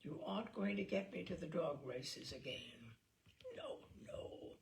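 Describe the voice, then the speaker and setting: A young upper-class English nephew in a comic storybook, voiced by a woman, wary and long-suffering. His protests swing up and down in pitch, with a creaky edge to the voice. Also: expressive, measured pace, darkish tone, a little hiss or hum, heavily acted. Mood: wary, firm. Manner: protesting, apprehensive.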